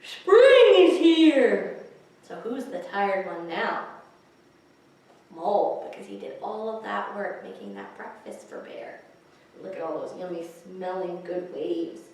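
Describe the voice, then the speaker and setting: A woman's voice: fairly low pitch, fairly even intonation, a little slow, gravelly. Echoey woman reading to young children. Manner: explains pictures, asks young listeners questions. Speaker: children's librarian, story time host. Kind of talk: reading picture books aloud to young children, with asides. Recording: echoey, distant microphone, faint hiss.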